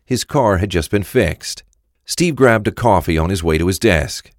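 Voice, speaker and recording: A man's voice: sits low, lively intonation, normal speed, dry recording, studio quality. A man reading scripted narration for a crime audio drama.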